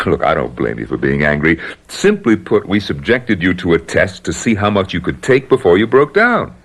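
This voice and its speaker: Deep, gravelly spy chief. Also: male voice, very animated, normal speed, fairly bright tone, heavily acted. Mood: composed, explanatory. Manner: assured, placating, explanatory. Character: male spy chief, smooth, commanding, in control. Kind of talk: radio drama acting.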